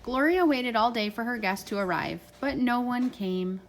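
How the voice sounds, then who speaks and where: Woman, parent reading a children's story aloud, higher-pitched voice. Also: moderate pace.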